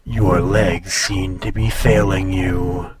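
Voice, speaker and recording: A man playing a proud, teasing ancient dragon in an intimate roleplay audio, speaking in a condescending, often hushed and breathy way. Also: sits low, fairly even intonation, slow. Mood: smug, solicitous.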